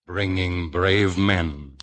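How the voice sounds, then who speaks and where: An actor narrating an old-time radio Western as a solemn storyteller, in a poetic, declamatory style. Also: male voice, sits low, extremely slow. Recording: old radio recording, music underneath.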